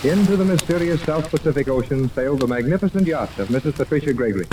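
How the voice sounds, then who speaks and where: A man's voice: fairly high pitch, animated, fast talker, lightly acted. A man narrating a 1930s radio serial as a grandiose, dramatic announcer. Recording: distant, noisy 1930s radio recording.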